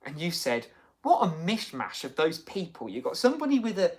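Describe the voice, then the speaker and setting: A man's voice: a little high, wildly swooping pitch, a little fast. Guy hosting a live stream chat, rambly, lots of ums, reads out comments. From United Kingdom. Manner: chatty, rambling, many fillers and restarts. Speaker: livestream host. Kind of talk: casual chat, reading viewer comments.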